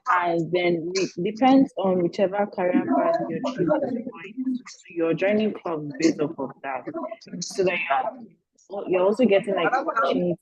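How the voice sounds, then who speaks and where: A young woman student answering questions on a video call, chatty and lively, full of "like" and "you know". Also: fairly low pitch, moderate pace, a crowd in the background. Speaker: current MBA student panelist. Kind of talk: casual spoken answer. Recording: dull lo-fi video-call audio.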